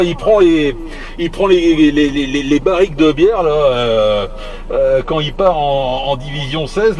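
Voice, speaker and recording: A man chatting casually on CB radio in a halting, repetitive, teasing way. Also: a little high. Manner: hesitant, halting, repeating words; jocular, teasing. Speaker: amateur CB radio operator.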